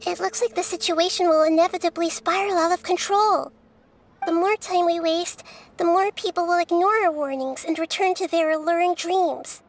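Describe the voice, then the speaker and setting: A woman voicing a small, wise, child-like goddess in a video game, youthful, gentle and composed. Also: very high voice, steady tone. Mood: grave, composed. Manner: measured, composed, articulate. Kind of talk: video game cutscene acting. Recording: music playing behind the voice.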